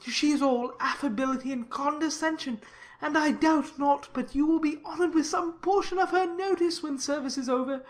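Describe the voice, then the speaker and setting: A woman's voice: high voice, moderate pace, pitched above the performer's own voice, lightly acted. A woman voicing a pompous, obsequious and long-winded clergyman in a dramatized audiobook, with effusive, deferential delivery. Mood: fawning, proud. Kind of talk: dramatized audiobook character acting.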